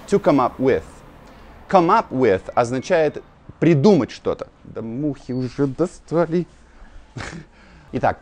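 A man in his thirties, a native Russian speaker, giving an informal English lesson to camera outdoors and slowly repeating key phrases. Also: medium pitch. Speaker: English teacher, video host. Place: outdoors on a narrow old-town street. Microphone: lapel mic.

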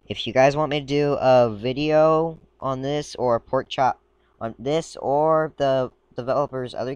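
Halting young-sounding man, a bit sick. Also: medium pitch, smooth, no echo. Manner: rambling, halting, reads aloud with stumbles. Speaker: gaming video creator. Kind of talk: casual screen-recording commentary.

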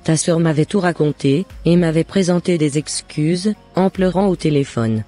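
A man's voice: a little high, moderate pace, dark tone, natural voice. A man voicing a devout elderly mother in a cartoon, speaking in a pious, formal way. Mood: relieved, devout. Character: devout, relieved elderly mother of grown daughters. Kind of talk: scripted cartoon dialogue. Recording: music under the voice.

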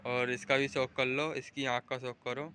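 Bright-voiced young man vlogging outdoors. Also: medium pitch, level tone, moderate pace, slight background noise. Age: young adult. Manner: chatty, directing friends, calling out to viewers. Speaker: vlogger showing pigeons. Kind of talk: casual vlog narration. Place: outdoors on a rooftop. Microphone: phone camera held in hand.

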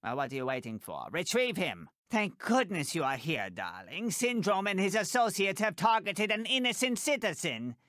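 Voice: medium pitch, huge pitch swings, normal speed, hardly any pauses, fairly bright tone, no room sound, studio quality. A performer voicing a tiny, imperious older woman fashion designer in a video game, theatrical and bossy, calling everyone darling. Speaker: mission giver.

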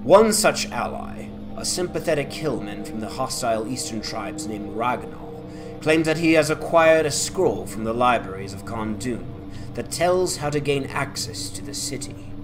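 A man's voice: medium pitch, expressive, moderate pace, music in the background, close to an everyday voice. A man voicing a resolute, earnest woman, a lost leader's daughter, in formal fantasy game dialogue. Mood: resolute, grave.

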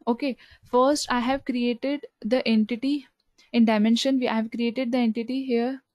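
A woman software trainer narrating a step-by-step screen tutorial in an instructional way, repeating key points. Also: on the high side, fairly even intonation, normal speed, no room sound, lo-fi sound.

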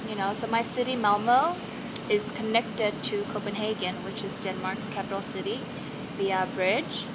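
A young woman from southern Sweden, a native Swedish speaker, chatting playfully on a city vlog with animated gestures, recorded on a camera mic. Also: medium pitch, normal speed, very noisy. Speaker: model and vlog guest. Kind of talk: casual vlog chat. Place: cafe, shops and street in a city.

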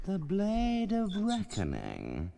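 A man voicing a haughty, scheming bishop in a video game, pompous, measured and menacing. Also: high-pitched, huge pitch swings, gravelly, mellow, heavily acted. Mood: imperious, impatient. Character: sinister, haughty male bishop, scheming villain. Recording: long hall reverb with noise.

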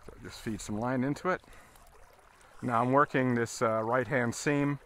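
A man's voice: medium pitch, fairly even intonation, a bit bright. Guy teaching fly fishing outside, casual and stop-start talking, bit of stream noise behind him. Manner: halting, explaining as he casts. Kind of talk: casual hands-on tutorial while fishing. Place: outdoors, standing in a river. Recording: outdoor recording with water noise. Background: running water.

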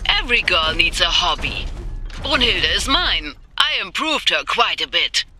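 A woman's voice: fairly low pitch, sing-song, measured pace, bright, forceful tone, faint music behind, full character voice. An actress playing a cheeky, plucky young woman who works as a mech engineer in a video game. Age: young adult. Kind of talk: video game cutscene acting.

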